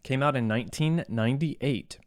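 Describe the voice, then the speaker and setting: Man chatting casually, medium pitch, dry sound. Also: normal speed, no room sound. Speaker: podcast co-host. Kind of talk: casual gaming podcast chat.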